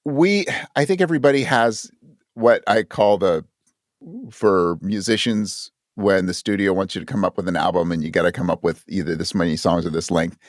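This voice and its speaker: A man's voice: deep voice, moderate pace, dry recording, clean studio sound. A man speaking as a writer guest in a casual interview, candid and thinking aloud, often acting out his own thoughts as quotes. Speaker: guest audio drama writer.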